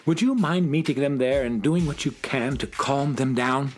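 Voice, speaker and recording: A man's voice: medium pitch, moderate pace, soft background music, natural voice. A man playing an earnest, courteous male schoolteacher in a children's audio drama, speaking politely. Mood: polite, calm.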